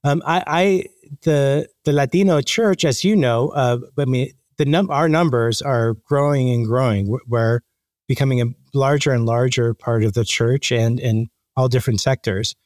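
A man being interviewed, speaking conversationally and haltingly as he thinks aloud with repeated false starts. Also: medium pitch, darkish tone, no echo, clean studio sound. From born in the Dominican Republic. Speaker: interview guest, magazine editor.

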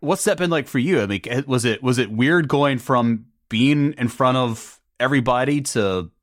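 A man hosting a podcast, relaxed and conversational as he asks questions and adds context. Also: mid-range voice, moderate pace, dry recording, clean studio sound. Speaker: podcast host. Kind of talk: conversational interviewing.

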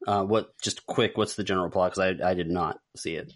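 A man's voice: sits low, steady tone, fairly quick. A man co-hosting a podcast, talking in a casual, informal reviewing style. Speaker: podcast co-host.

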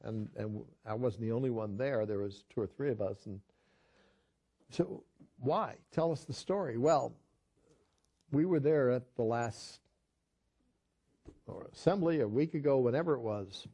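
Older pastor guy, mellow low-key voice, tells a story earnestly, repeats himself a lot. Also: measured pace, long pauses, breathy edge. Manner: earnest storytelling with repetitions and restarts. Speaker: pastor teaching a Bible study. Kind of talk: conversational sermon with a story.

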